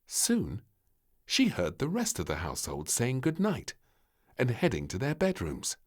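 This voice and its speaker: A male storybook narrator reading for children. He takes his time, telling the story dramatically with huge swings of pitch. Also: huge pitch swings, a little slow.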